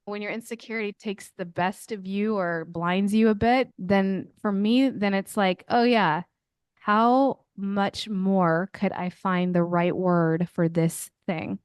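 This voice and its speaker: A woman in her thirties co-hosting a podcast chat, talking in a reflective, animated way and poking fun at herself. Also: mid-range voice, takes it slowly, smooth, no room sound, studio quality. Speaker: podcast co-host. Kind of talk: casual chat.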